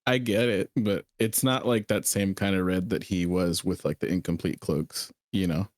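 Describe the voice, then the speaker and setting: A man in casual fan chat as a co-commentator, halting as he searches for words. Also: low-pitched, steady tone, no room sound, clean studio sound.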